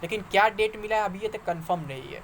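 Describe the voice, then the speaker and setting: A man's voice: sits high, quick pace, a bit bright, slight background noise. A man giving informal explanatory commentary to viewers, conversational and argumentative, repeating points for emphasis. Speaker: exam news YouTuber.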